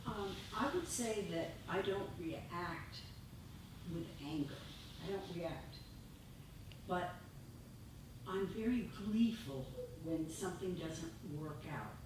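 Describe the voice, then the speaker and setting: A woman sharing candidly in a group discussion, halting and self-mocking, recorded in an echoey room. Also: lowish voice, lively intonation, noisy background. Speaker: group participant. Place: group meditation meeting.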